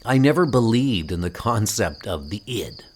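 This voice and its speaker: A man voicing a demented, lonely young man in a comic book dub, confessional and drawn-out. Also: fairly low pitch, expressive, slight background noise, natural voice. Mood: brooding.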